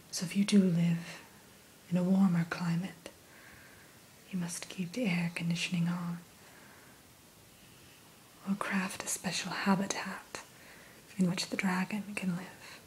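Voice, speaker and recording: A woman whispering a gentle, courteous ASMR roleplay as the keeper of a fantasy creature shop, in a breathy voice. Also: lowish voice, low-quality recording. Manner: slow, gentle, courteous shopkeeper patter. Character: gentle, courteous female keeper of a dragon hatchery. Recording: steady hiss behind close whisper.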